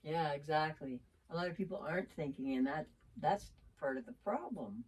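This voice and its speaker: A middle-aged woman with a deep voice, talking frankly and bluntly with her partner in a casual chat, swearing freely. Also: moderate pace, a little mellow, husky. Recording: distant mic.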